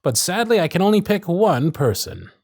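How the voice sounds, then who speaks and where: A man playing a showy stage magician, addressing the crowd in grand, drawn-out, theatrical patter for an audio roleplay. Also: high-pitched, huge pitch swings, vocal fry, darkish tone, dry recording, studio quality, heavily acted. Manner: grand projected patter, drawn-out dramatic phrasing. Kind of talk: ASMR roleplay stage performance.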